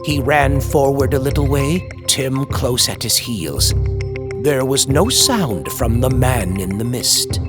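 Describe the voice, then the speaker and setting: A man narrating a scripted horror tale like an old-time radio host, theatrical, ominous and drawn-out. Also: mid-range voice, animated. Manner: ominous, drawn-out, dramatic delivery. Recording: music under the voice.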